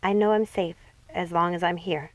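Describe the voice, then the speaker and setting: A woman's voice: fairly low pitch, fairly even intonation, moderate pace, no echo, natural voice. A woman voicing a sweet, dutiful young princess in a storybook, polite and affectionate. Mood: gentle. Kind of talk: storybook character voice.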